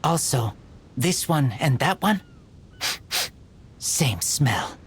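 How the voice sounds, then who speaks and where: An actor playing a terse, earnest wild teenage boy in an anime game, speaking in halting, broken short phrases. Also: fairly high pitch, sing-song, a little hiss or hum, exaggerated voice. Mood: earnest, puzzled.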